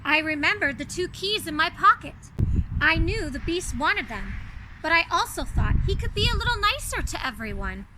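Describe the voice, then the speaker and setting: A woman reading a children's story aloud as a fairy-tale princess, expressive and carefully enunciated. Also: very high-pitched, normal speed, noisy background. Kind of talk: animated children's read-aloud.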